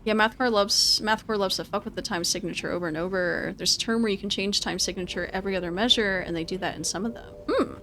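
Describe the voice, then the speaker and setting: A woman's voice: mid-range voice, fast talker, few pauses, fairly bright tone, a little hiss or hum, poor audio. A woman giving casual live game commentary and chatting with viewers, informal and prone to repeating her words and phrases. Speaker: game streamer.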